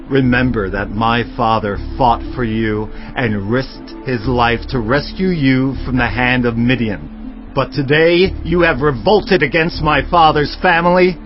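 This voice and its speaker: An actor playing an indignant young brother addressing a crowd in a solemn, declamatory way in a dramatized Bible audio production. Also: male voice, medium pitch, lightly acted. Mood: accusing. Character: Jotham, indignant youngest son reproaching a crowd with a parable. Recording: dull lo-fi sound, music behind.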